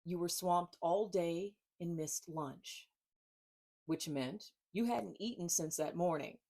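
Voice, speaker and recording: Woman, slightly low voice, author presenting her book, expressive reading, deliberate emphatic phrasing, pauses. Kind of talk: informal talk and expressive book reading to camera.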